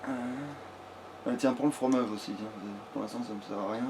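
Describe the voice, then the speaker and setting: A man's voice: fairly low pitch, steady tone, fairly quick. A man giving casual, improvised video game commentary in a crude, swear-heavy way. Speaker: let's player. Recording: clearly noisy background.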